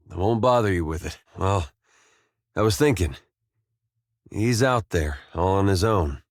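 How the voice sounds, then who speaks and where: A deep male voice, recorded very dry, a man acting out a farmer turned adventurer in a video game. He is gentle and loyal, with some weariness of the world in him. He talks plainly and folksily, understating things with hesitant, dry humor. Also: dry recording, close to an everyday voice. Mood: hesitant, concerned. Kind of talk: video game companion dialogue.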